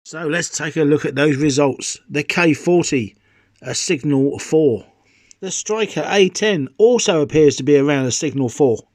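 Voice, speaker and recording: A man's voice: a little high, moderate pace, no room sound, studio quality. A British man presenting a radio hobby video in the style of an enthusiastic product review.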